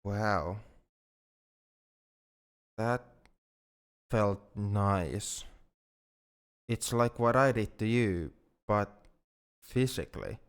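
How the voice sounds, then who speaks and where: A man playing a shy, tender, awkward alien in love in an intimate ASMR roleplay, speaking gently and haltingly, often repeating words. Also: on the low side, clear, resonant tone. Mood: tender, sleepy.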